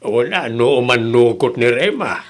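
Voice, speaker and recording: An Ilocano father played by an actor in a comedy audio drama, with very animated, swinging intonation. He is mild and reasonable, putting in conciliatory remarks and advice. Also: mid-range voice, moderate pace, natural voice. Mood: advising.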